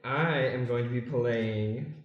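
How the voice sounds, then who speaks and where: A man's voice: mid-range voice, slow pace, highs cut off. A man, an improv performer, casually introducing himself and his part. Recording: very echoey room, music behind.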